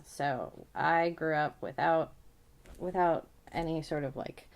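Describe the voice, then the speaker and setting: Lower-voiced woman in casual conversation. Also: vocal fry. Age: 30s. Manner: hesitant, stop-start phrasing with frequent small laughs. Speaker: podcast host. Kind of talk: casual, personal podcast conversation.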